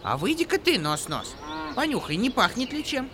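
A man's voice: very high voice, very animated, moderate pace, noisy background, pitched above the performer's own voice. A man voicing an anxious, bossy old village mother in a folk tale, with music behind. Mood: wary. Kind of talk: storybook character voice in a folk tale.

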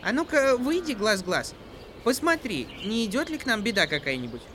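A man's voice: high-pitched, sing-song, moderate pace, a bit bright, a little hiss or hum, voice pushed up. A man voicing an anxious, bossy old village mother in a folk tale, with music behind. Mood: wary. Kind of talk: storybook character voice in a folk tale.

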